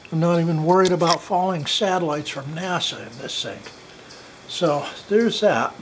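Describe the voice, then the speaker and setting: A man's voice: on the high side, fairly bright tone, a little hiss or hum, low-quality recording. Chatty man filming his barn outdoors. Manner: chatty, jokey, talks to his goats. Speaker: homesteading vlogger. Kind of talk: casual walk-around vlog. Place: outdoors in a wooded yard by a half-built barn. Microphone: handheld camera mic.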